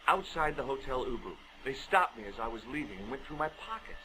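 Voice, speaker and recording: A man's voice: medium pitch, expressive, brisk, crowd noise, lightly acted, low-quality recording. An actor playing a wry, inquisitive man, the hero of an adventure game, in dialogue and narration. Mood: matter-of-fact.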